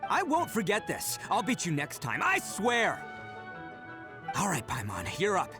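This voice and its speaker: An actor voicing a brash, boisterous young man who is swaggering and loud about beetle fights, in a video game. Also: high-pitched, measured pace, music in the background, exaggerated voice. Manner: loud, swaggering, big swings of excitement.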